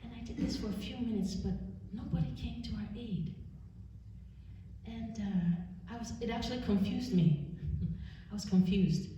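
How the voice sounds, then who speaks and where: A woman in her forties from Canada giving an earnest, measured personal testimony at a church service, pausing often. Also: mid-range voice, mellow, a little hiss or hum. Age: 40s. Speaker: church speaker. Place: church sanctuary. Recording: roomy church, distant mic, dull sound.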